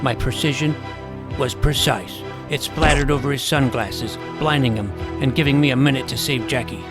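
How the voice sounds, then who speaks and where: A man plays an earnest, nerdy boy superhero telling his own story. The delivery is deadpan and scripted, with a noticeably creaky mid-pitched voice over prominent music. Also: normal speed, close to an everyday voice. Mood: earnest narrating. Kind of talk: first-person scripted story narration.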